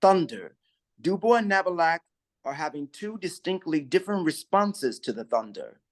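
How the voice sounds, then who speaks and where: A man reading stage directions aloud in a measured, even way during a play reading. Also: a little high.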